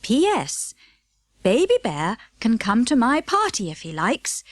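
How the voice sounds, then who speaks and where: A woman voicing a gentle, motherly bear in a children's storybook, speaking with careful deliberation. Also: fairly high pitch, wildly swooping pitch, a little slow, no echo, heavily acted. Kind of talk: children's storybook character reading.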